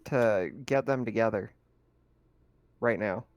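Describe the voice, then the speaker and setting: A man's voice: lowish voice, takes it slowly, slight background noise, voice pushed down. A man playing a practical, insistent spacecraft hacker in a tabletop game, in an everyday voice.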